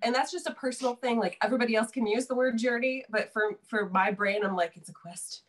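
A woman's voice: a little high, fast talker. A woman in her thirties, a guest on a casual video call, talking in a playful, wry and talkative way. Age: 30s. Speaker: podcast guest.

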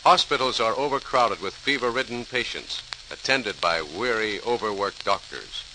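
A man's voice: lowish voice, lively intonation, normal speed. A man narrating an old-time radio program as its announcer, in a formal, dramatic scripted style. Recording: noisy, hissy old radio recording.

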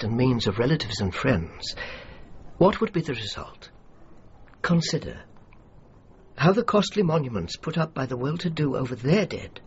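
An actor playing a dutiful English general in a radio drama. He speaks at a middle pitch, formally and diplomatically, with earnest conviction. The recording is slightly dull, with faint noise. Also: natural voice. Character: earnest, dutiful British general defending his war graves commission.

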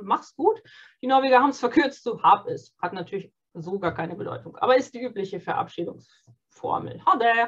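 A woman teaching an online beginner Norwegian course, cheerful and encouraging, modelling phrases slowly and clearly. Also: on the high side, dull audio. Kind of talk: online beginner language lesson.

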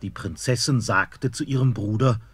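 A man narrating a fairy-tale radio play in an expressive storytelling manner. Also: lowish voice, normal speed, soft background music.

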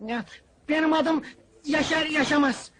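A naive young underdog in a comic film. His voice is extremely high for a man, meek and plaintive, over a noisy film soundtrack. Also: steady tone, a little slow, a bit bright. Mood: meek protest. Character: naive, put-upon young male hired goalkeeper, meekly protesting. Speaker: actor.

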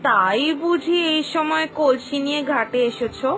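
A woman's voice: high voice, normal speed, a bit bright, soft background music. A woman voicing a nosy village wife in a cartoon, gossipy, inquisitive and emphatic in her way of talking. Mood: nosy, curious. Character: nosy, opinionated young village wife and neighbour. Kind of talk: dramatised cartoon dialogue.

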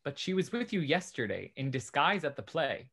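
Man playing an animated, courteous young Restoration gentleman. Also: on the high side, normal speed, breathy edge, heavily acted. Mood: confiding. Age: young adult. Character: genial young gentleman in love, courteous gossip. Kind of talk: stage acting in a Restoration comedy over video call. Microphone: video call.

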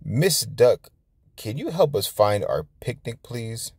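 A man voicing an excitable, opinionated little girl pig from a storybook, with drawn-out exclamations. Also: medium pitch, slightly rough, no echo, voice pushed up, full character voice. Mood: cheerful.

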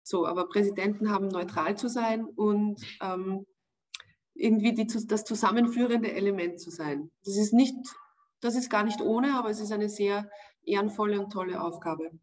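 A woman's voice: mid-range voice, a little mellow. Austrian woman talking thoughtfully on a call. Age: 40s. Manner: thoughtful, searching for words with many pauses. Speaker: interview guest, actress. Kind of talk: casual video-call interview. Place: home room indoors. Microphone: video call.

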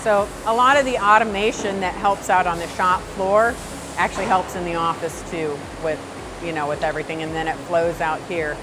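A woman's voice: on the low side, fairly even intonation, normal speed, noisy background. A middle-aged woman, a company president, talking casually in an interview with lots of fillers and restarts, on a lapel mic. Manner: conversational, many fillers and restarts. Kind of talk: shop tour interview. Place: studio set, then machine shop floor. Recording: music bed under the voice.

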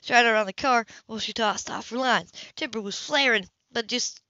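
A woman's voice: fairly high pitch, normal speed, pushed and bright, no room sound, highs cut off. A woman reading a romance novel aloud as an amateur audiobook narrator.

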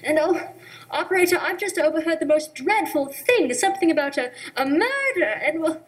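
A woman's voice: sits high, expressive, normal speed, poor audio. A woman playing a nervous, indignant, imperious housewife who stammers insistently, in 1940s radio play style. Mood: panicked. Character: nervous, demanding, indignant wealthy housewife alone at night. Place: dark stage, masked, speaking into prop telephone.

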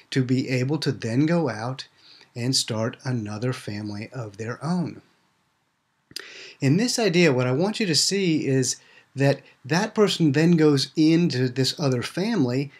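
Husky-voiced man explaining slides. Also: medium pitch. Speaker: ministry presenter and seminary student. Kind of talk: narrated slide presentation, explanatory teaching.

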